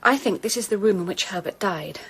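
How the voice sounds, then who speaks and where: An actress playing a frightened, insistent Victorian gentlewoman in a radio play, with an intense, theatrical, breathy delivery. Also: mid-range voice, fairly quick, slight background noise, close to an everyday voice. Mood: shaken, insistent. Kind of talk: dramatic radio play acting.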